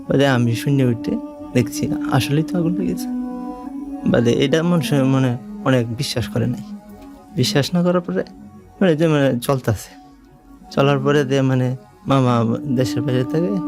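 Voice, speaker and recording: A Bangladeshi man with an average-pitched voice tells his own story as a guest. He speaks haltingly, circling back and leaning on fillers. Music runs clearly beneath his voice. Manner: halting, repetitive, leans on filler phrases. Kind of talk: unscripted personal storytelling.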